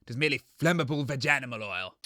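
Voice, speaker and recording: A man playing an excitable, indignant, blustering co-host on a comedy radio show. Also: medium pitch, rapid-fire, no echo, clean studio sound.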